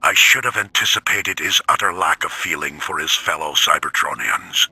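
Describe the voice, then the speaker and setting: A man's voice: lively intonation, moderate pace, pushed and bright, no room sound, full character voice, poor audio. A man voicing an old, eloquent robot elder, stately and mournful, in a theatrical video game audio log. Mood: regretful, grave. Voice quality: deep, gravelly.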